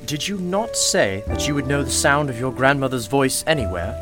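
An actor playing a mysterious, wise shopkeeper in a radio play, speaking in a formal, measured and courteous way. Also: male voice, mid-range voice, animated, lightly acted. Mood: knowing, kindly. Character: mysterious, patient, wise male shopkeeper of a magical shop. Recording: loud music behind the voice.

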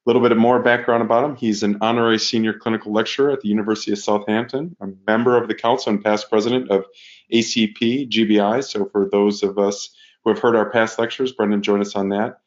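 A man hosting a podcast, introducing his guest with a brisk, list-like delivery. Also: on the low side, steady tone. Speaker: podcast host. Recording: dull lo-fi audio.

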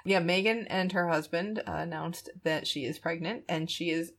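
A woman's voice: on the low side, moderate pace, fairly bright tone. A woman hosting a podcast in a casual, conversational style, chuckling often as she talks. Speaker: podcast host.

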